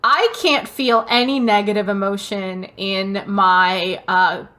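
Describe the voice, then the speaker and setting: A woman's voice: medium pitch, slow pace, pushed and bright. A woman co-hosting a casual comedy podcast, talking in a wry, playfully dramatic way. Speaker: podcast co-host.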